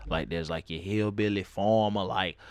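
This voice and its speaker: A young man with an Atlanta Southern accent rambles through a casual story, swearing freely and laughing often. His voice sits low. The sound is dry and close, with no room around it. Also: fairly even intonation, normal speed, dry recording. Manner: loose, profane, laughs often, drawls words out. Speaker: vlogger.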